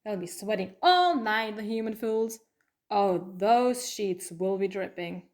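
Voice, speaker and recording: Clever, coaxing heroine female performer, mid-pitched, conversational and teasing, for an audio drama about an alien traveller. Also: expressive, a little slow, a little mellow, lightly acted. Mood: playful, coaxing. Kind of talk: fan audio drama acting.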